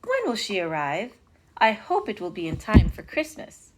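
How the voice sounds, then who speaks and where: A woman voicing an excitable, impatient king from a storybook, emphatic and dramatic, with an attempted French accent. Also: mid-range voice, moderate pace, background noise. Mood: excited.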